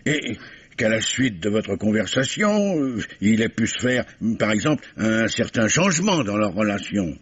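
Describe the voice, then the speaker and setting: An actor playing a courteous, dryly ironic French police commissioner, questioning in measured fashion in a 1950s-style radio drama. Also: male voice, fairly low pitch, very animated, breathy edge. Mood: probing. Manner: measured questioning with dry irony. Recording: dull vintage radio recording.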